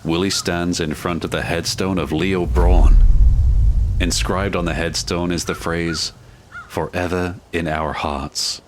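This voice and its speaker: Low-voiced, solemn male narrator. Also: fairly even intonation, slow, slight background noise. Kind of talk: scripted audio drama narration.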